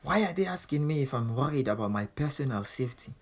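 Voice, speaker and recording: A man's voice: mid-range voice, animated, quick pace. An actor playing a proud, defiant world champion boxer who narrates his own story in a boastful, wry way for an audio drama. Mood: wry narrating. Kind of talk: audio drama monologue and dialogue. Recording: clear background noise and ambience.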